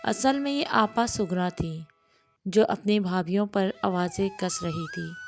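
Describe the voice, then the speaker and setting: A woman storyteller narrating a scripted domestic tale in an unhurried, measured manner. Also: mid-range voice, soft background music. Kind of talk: scripted audio storytelling in Urdu with acted dialogue.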